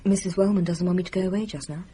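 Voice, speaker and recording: A woman's voice: on the low side, steady tone, rapid-fire, warm tone, close to an everyday voice. An actress playing a polite, reserved young English village woman in a radio drama. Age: young adult. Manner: polite, deferential.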